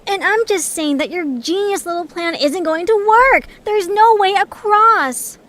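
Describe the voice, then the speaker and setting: A woman's voice: very high-pitched, a little slow, fairly bright tone. A woman voicing a bossy, sarcastic, short-tempered female creature in an audio story, with a snappy manner. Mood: irritated, sarcastic.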